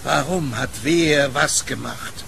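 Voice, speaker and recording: An actor playing an urgent, distraught gentleman in a German-style horror audio drama. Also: medium pitch, slow, creaky, background noise. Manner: urgent, exclamatory. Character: agitated, distraught gentleman searching desperately in the night.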